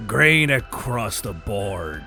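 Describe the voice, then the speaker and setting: A woman performs an eager male military air boss in a sci-fi audio story. The voice is very deep and gravelly, barking slow calls with big swings in pitch. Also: very animated, bright, forceful tone, faint music behind, heavily acted. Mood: eager, rousing. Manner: slow, drawn-out barked calls.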